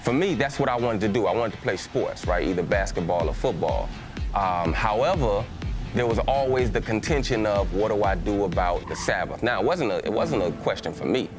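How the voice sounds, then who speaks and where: A man's voice: lowish voice, expressive, brisk, music in the background. A man from Texas with a Southern accent, a pastor giving a conversational personal testimony to a church, with frequent restarts and filler words.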